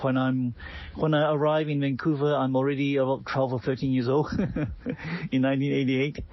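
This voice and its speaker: Halting middle-aged man, fillers and restarts. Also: mid-range voice, little pitch movement, dry recording, muffled recording. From Hong Kong. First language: Cantonese. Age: 40s. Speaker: realtor. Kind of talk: casual personal storytelling.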